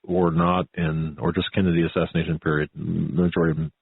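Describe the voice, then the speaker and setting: Low husky male host, phone-like sound. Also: flat delivery, no echo. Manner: halting, repeats words. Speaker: radio show host. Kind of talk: talk radio interview. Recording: narrow-band phone-like audio.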